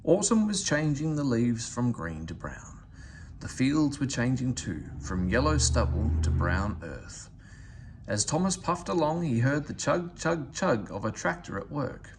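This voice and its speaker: A man reads a storybook for children with animated, varied intonation. His voice has a gravelly, creaky edge. There is faint noise in the recording. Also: mid-range voice, measured pace. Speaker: storybook reader. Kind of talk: expressive read-aloud narration for children.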